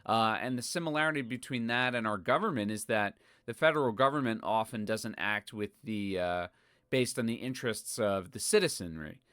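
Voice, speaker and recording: Low, smooth-voiced male host. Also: moderate pace, a bit bright, dry recording, clean studio sound. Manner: conversational, hedging, filler-heavy. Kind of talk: casual interview questions.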